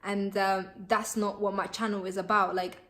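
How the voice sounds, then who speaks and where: A bubbly young woman with a lilt talks straight to her camera, chatty and full of "like" and "um". Her is Somali, and she sounds relaxed and personal, as if speaking to her followers. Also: medium pitch, normal speed. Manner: bubbly and chatty, many fillers. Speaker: vlogger. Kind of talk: casual chat to camera.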